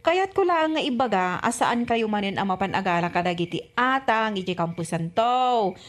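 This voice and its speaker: A woman's voice: mid-range voice, animated, moderate pace, close to an everyday voice. A woman with an Ilocano accent playing an earnest, insistent wife and mother in a comedy radio drama. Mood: scolding. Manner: scolding, insistent.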